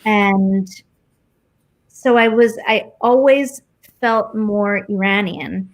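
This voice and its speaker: A woman's voice: mid-range voice, slow. A woman from the United States with an American accent, talking casually and reflectively as an interview guest, informal and thinking aloud as she goes.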